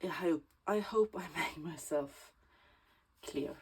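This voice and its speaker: A woman with a Swedish accent, Swedish native, talking through a bookbinding tutorial in a slow, thoughtful way that often drops to a soft whisper while she works. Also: low voice, a little hiss or hum. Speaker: bookbinding crafter. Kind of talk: casual craft tutorial.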